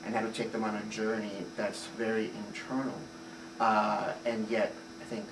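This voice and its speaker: A man's voice: lowish voice, level tone, normal speed, vocal fry. A man from Puerto Rico, a theater director, giving thoughtful interview answers, fluent but with frequent filler sounds. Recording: roomy, distant mic, noisy ambience.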